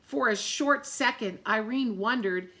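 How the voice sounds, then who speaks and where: Slow, bright-voiced woman reading a storybook. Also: fairly high pitch. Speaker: storybook reader. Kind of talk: expressive picture-book read-aloud with asides to young listeners.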